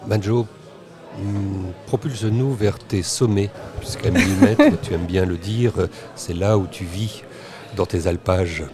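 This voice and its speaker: A man hosting a roundtable podcast, speaking haltingly with pauses mid-sentence. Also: lowish voice, music in the background. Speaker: podcast host. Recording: busy crowd chatter behind. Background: crowd chatter.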